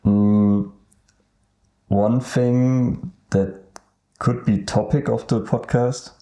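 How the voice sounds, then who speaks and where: A young man from Germany with a German accent, co-hosting a casual podcast and talking hesitantly with many pauses. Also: medium pitch, fairly even intonation, warm tone. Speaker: podcast co-host. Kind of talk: casual chat.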